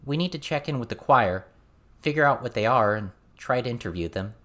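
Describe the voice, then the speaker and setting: A man's voice: mid-range voice, animated, brisk, fairly bright tone, close to an everyday voice. A man voicing a cautious, polite teenage girl in a fantasy audiobook. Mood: wary.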